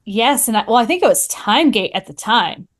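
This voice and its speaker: A woman's voice: fairly high pitch, animated, a little fast. A woman talking casually as a podcast guest, with a playful, wry manner. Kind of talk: casual chat.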